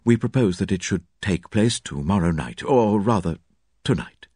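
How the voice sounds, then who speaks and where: A man voicing a brisk, formal elderly doctor in a low voice. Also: lively intonation, a little mellow, breathy edge, dry recording, studio quality.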